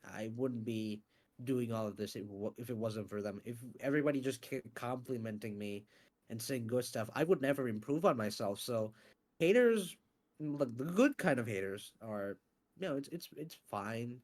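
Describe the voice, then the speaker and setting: A man being interviewed about his voice acting and scriptwriting, talking in a casual, animated way with lots of fillers and some swearing. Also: medium pitch, normal speed. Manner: animated, swears freely, many fillers and restarts. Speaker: interview guest, voice actor and scriptwriter.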